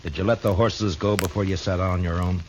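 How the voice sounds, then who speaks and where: Man acting a deep-voiced, stern frontier marshal. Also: fairly even intonation, fairly quick, background noise, natural voice. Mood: stern, insistent. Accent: American. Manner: terse, plain-spoken, firm. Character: stern, level-headed Old West frontier marshal. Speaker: lead actor and narrator. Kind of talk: 1950s radio western acting. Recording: 1950s radio drama with music.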